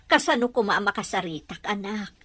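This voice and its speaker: A woman's voice: medium pitch, lively intonation, fairly quick, a bit bright, dry recording. A woman with an Ilocano accent playing a worried mother in an audio drama. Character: worried Filipino mother speaking with her child. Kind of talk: radio drama acting.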